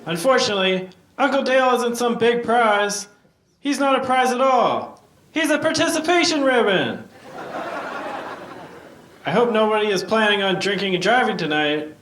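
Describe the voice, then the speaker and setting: A man's voice: high-pitched, lively intonation, moderate pace, long pauses, fairly bright tone. A man playing an oblivious, earnest sitcom character who delivers a wedding toast as stand-up comedy. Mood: earnest, jokey. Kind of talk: sitcom acting, speech to an audience. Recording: echoey microphone in a room.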